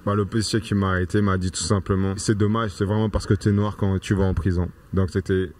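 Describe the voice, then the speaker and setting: A man's voice: low voice, flat delivery, brisk, a little mellow, no room sound. A man giving offhand answers in a street interview.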